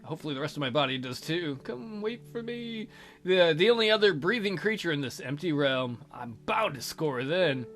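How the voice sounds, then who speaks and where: Animated, bright-voiced man doing a let's play. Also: fairly high pitch, fairly quick, hardly any pauses. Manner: goofy, crude humor, theatrical reading. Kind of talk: reading a visual novel aloud with joking commentary.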